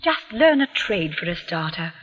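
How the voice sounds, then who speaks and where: An actress playing a gentle, anxious, ailing aunt in a 1940s radio drama, soft-spoken and apologetic. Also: female voice, on the low side, very animated, moderate pace. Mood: pleading, kindly. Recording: dull old radio recording, reverberant.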